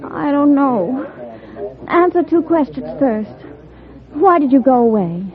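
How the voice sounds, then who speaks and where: A coy, high-voiced sweetheart. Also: female voice, animated, measured pace. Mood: teasing, challenging. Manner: teasing, cool, flirtatious. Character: coy, assured, pretty lady sweetheart, playfully testing her suitor. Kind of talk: 1950s radio Western acting. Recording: tinny, band-limited 1950s radio recording.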